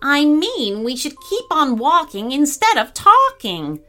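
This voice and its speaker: Woman voicing a bright, chatty, cheeky parrot. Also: sits high, big pitch swings, slow, faint music behind, pitched above the performer's own voice, heavily acted. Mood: chiding. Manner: gabby, teasing, exclamatory. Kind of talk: children's story character voice.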